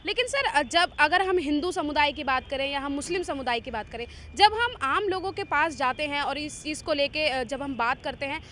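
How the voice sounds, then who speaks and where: A woman's voice: high-pitched, animated, normal speed, hardly any pauses, bright, forceful tone, a little hiss or hum. A woman working as a news interviewer, putting questions politely. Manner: polite, frequent brief acknowledgements.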